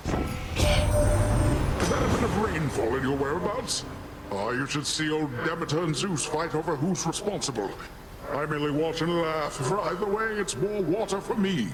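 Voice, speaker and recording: A man's voice: fairly high pitch, sing-song, moderate pace, over a noisy crowd, poor audio. A man playing a jovial, boastful sea god uncle in a video game, with grandiose, playful and theatrical delivery that is goofy yet haughty. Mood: amused, flippant. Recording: loud game music behind the voice.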